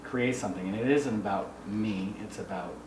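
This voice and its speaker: Lower-pitched American man, echoey room. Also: moderate pace. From US Midwest, Minnesota. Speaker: artist. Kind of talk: casual personal talk. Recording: echoey, noisy room, distant mic.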